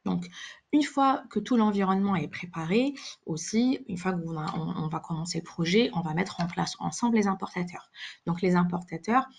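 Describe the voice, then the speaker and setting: Female webinar presenter, lowish husky voice, explaining software step by step with a hesitant, halting flow. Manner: halting, hesitant delivery with repeated words. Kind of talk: live software demonstration, explanatory.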